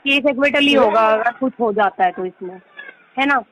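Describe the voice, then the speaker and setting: Woman lawyer, higher voice, insistent explainer on a phone call. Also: normal speed, fairly bright tone, slight background noise. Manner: insistent, explanatory, peppers Hindi with English legal terms. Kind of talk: persuasive phone conversation. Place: recorded phone or video call. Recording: muffled lo-fi recorded call.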